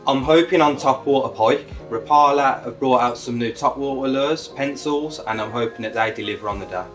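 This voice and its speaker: A man's voice: mid-range voice, fairly quick, a bit bright. Man, from Birmingham, brief, matter-of-fact, distant mic. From Birmingham, England. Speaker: angler competitor. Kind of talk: interview answers. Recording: distant mic, faint music behind.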